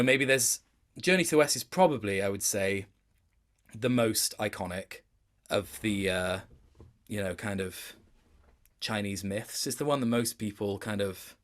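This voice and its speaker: A man speaking as an interview guest in a casual chat, thoughtful and halting, often reworking his sentences as he goes. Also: fairly low pitch. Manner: thoughtful, halting, with many self-corrections.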